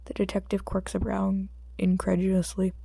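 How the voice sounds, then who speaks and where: Woman, audiobook narrator, expressive fiction reading, soft dark tone, vocal fry, dry recording. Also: mid-range voice, moderate pace, dry recording.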